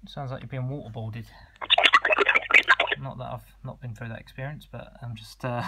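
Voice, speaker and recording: Man with a flat, even delivery. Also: mid-range voice, moderate pace, heavy background noise. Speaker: online seller demonstrating two-way radios. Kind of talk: informal product demonstration.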